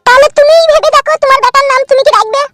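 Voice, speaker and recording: A woman voicing a squeaky, obedient young bride in a comedy cartoon. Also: rapid-fire, a bit bright, faint music behind. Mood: chatty.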